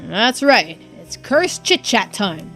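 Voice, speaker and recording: Woman putting on an emphatic, excitable mystery-game character. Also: medium pitch, takes it slowly, faint music behind, heavily acted. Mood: dramatic, excited. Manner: dramatic, emphatic declarations.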